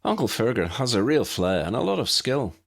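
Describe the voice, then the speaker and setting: A friendly young Irish wizard as acted out by a male narrator chats in a lilting accent for a storybook. The voice is husky and swings up and down with great animation. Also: medium pitch, very animated, fairly quick, voice pushed up. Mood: friendly, candid.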